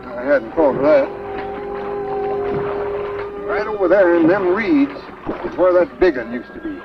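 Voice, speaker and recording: An actor playing an easygoing, grumbling backwoods trapper with a gravelly Southern American drawl in a 1950s film. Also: male voice, sits high, big pitch swings, a little slow, heavily acted. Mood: musing. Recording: distant, muffled film sound, loud music.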